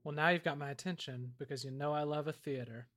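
A man plays a politely puzzled theater buff on a comedy podcast. His voice sits a bit high and is recorded dry, with hesitant, faintly pretentious questions. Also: fairly even intonation, quick pace, a bit bright, dry recording. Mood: curious. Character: curious newcomer man, self-styled theater buff. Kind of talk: improvised comedy podcast banter in character.

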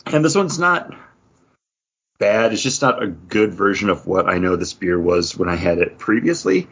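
A man's voice: low-pitched, sing-song, moderate pace, fairly bright tone, husky. A man co-hosting a podcast in a casual, conversational way, describing things as he chats. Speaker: podcast co-host. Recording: slightly muffled, lo-fi audio.

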